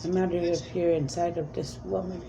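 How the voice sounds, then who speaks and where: A woman's voice: on the low side, fairly even intonation, moderate pace, creaky, a crowd in the background, poor audio. A woman speaking as a self-described spirit medium in a rambling, emphatic monologue, pleading and repeating commands.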